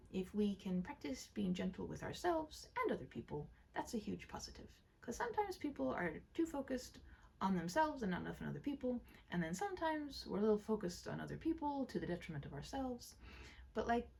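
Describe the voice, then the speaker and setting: A woman hosting a read-aloud, chatting casually in a gentle, rambling, expressive way. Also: on the high side, gravelly, slightly rough. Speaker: storyteller and channel host. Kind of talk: casual chatty commentary and story narration.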